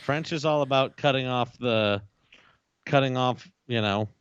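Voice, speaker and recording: A man's voice: mid-range voice, measured pace, clear, resonant tone, no room sound. A man co-hosting a casual chat show, joking and laying out mock-serious hot takes. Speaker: co-host. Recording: slightly dull dry mic.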